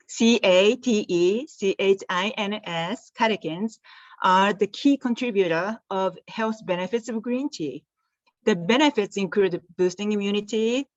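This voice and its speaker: A woman's voice: mid-range voice, no echo. Japanese-accented woman guest, earnest storyteller, dry lo-fi call audio. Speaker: guest, tea company founder. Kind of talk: storytelling interview answer.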